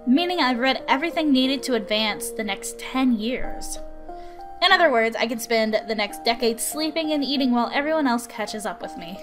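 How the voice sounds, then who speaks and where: A woman's voice: a little high, animated, normal speed, music in the background, lightly acted. A woman voicing an arrogant, dismissive, gifted girl prodigy in a visual novel. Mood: bored, dismissive. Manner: smug, boastful, dismissive. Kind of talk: visual novel character reading.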